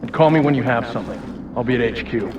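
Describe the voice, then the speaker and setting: An actor playing a cold, commanding, corrupt agency director in a video game, with an American accent. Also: male voice, mid-range voice, fast talker, highs cut off, natural voice. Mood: cold orders. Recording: echoey, distant, ambient noise.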